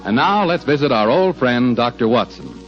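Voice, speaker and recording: A man's voice: mid-range voice, lively intonation, normal speed, over music. An American man announcing as host of a 1940s radio show, with a folksy, polished salesman's delivery. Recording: muffled 1940s radio recording.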